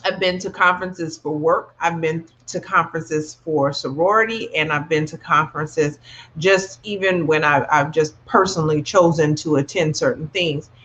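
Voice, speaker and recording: A woman guest in a casual discussion, giving her opinions in a measured, conversational way. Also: deep voice, steady tone, fairly bright tone. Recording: dull, lo-fi call audio.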